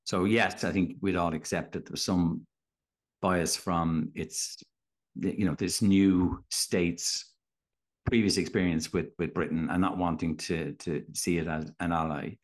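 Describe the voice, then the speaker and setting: Low-voiced podcast host. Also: male voice, fairly even intonation, measured pace. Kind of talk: conversational hosting.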